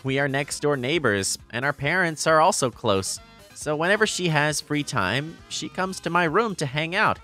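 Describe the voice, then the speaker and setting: A man's voice: on the high side, expressive, normal speed, sharp tone, soft background music. A man playing an easygoing teenage boy narrating a romantic comedy manga story, explanatory and fondly exasperated. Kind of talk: scripted manga narration and dialogue.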